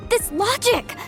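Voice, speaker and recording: A woman's voice: very high-pitched, heavily acted. An actress playing an earnest, devout young woman in a video game, speaking with fervent, impassioned conviction. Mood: protesting.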